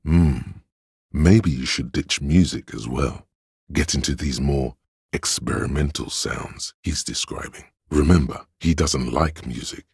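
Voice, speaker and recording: Cavernous, gravelly, pretentious inner voice. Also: male voice, measured pace, clean studio sound, heavily acted. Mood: arty enthusiasm. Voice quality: very deep, gravelly, husky. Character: male inner voice of conceptual, artistic thought, wry and pretentious. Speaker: game narrator. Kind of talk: theatrical game narration.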